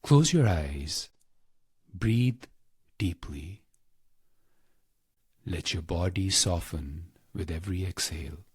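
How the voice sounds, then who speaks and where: Man, very breathy husky voice, slow pacing, solemn guided meditation, close dry sound. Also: low voice, lively intonation, no echo. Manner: slow, deliberate, pausing between short phrases. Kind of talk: scripted guided meditation narration.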